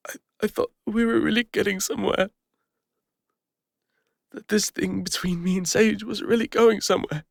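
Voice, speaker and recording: A man plays a vulnerable, regretful fantasy adventurer with an English accent. His voice is a little high for a man and often breaks into creak. He confides hesitantly in an intimate audio roleplay, recorded very dry. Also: no echo. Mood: hurt, tearful. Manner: hesitant, confessional, halting with pauses.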